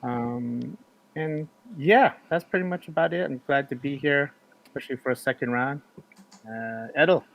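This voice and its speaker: A man's voice: medium pitch, normal speed, vocal fry, fairly bright tone, poor audio. Male podcast co-host, mid-range voice, loose rambling delivery with lots of "uh"s. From United States. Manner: rambling, many fillers and restarts. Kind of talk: casual chat.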